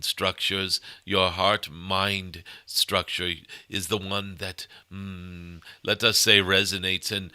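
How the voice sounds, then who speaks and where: Man channeling a deep, forceful cosmic dragon guide. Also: clean tone, no room sound, exaggerated voice. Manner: halting, deliberate, with frequent murmured mm hums; formal, otherworldly phrasing, tenderly addressing listeners. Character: benevolent cosmic golden dragon spirit, channeled spiritual guide. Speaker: channeler delivering spiritual messages. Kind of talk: channeled spiritual message, sermon-like.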